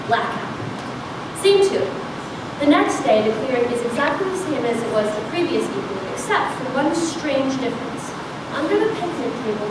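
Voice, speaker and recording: A woman reading stage directions as scripted narration at a live public script reading. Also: high-pitched. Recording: very echoey, loud noise, far from mic.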